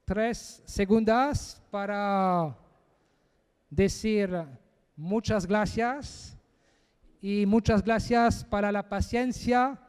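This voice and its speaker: A man giving a technical conference presentation, speaking haltingly as he searches for words in a second language. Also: high-pitched, darkish tone, smooth, long reverb tail.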